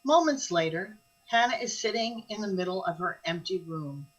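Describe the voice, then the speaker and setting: A woman's voice: mid-range voice, expressive, moderate pace, highs cut off, close to an everyday voice. A woman as a composed storyteller, reading scripted narration of the action in a radio drama.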